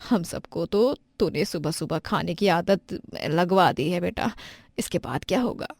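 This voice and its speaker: A woman voicing a middle-aged mother who speaks softly and teases her daughter. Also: lowish voice, expressive, unhurried, creaky, dry recording, studio quality, exaggerated voice. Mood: fond.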